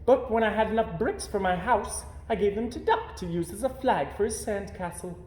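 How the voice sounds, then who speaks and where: Man as a high-pitched polite lady zebra. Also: moderate pace, darkish tone, natural voice. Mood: polite, apologetic. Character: Zebra, busy polite female toy zebra. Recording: roomy, echoey indoor sound.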